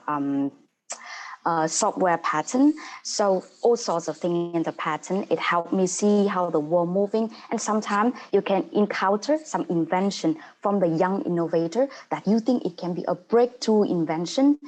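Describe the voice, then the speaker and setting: A woman in her thirties from Vietnam with a Vietnamese accent, a non-native English speaker, giving an earnest, halting webinar talk as a paralegal over a video call. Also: mid-range voice, darkish tone. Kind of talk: online webinar presentation.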